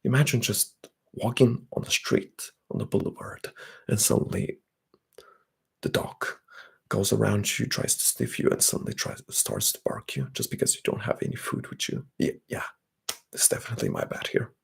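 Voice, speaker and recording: A young man, chatting softly and often whispering on an ASMR livestream while reading out comments. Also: lowish voice, huge pitch swings, normal speed, creaky, breathy edge. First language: Bulgarian. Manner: soft-spoken, drifting into whispers. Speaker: ASMR streamer.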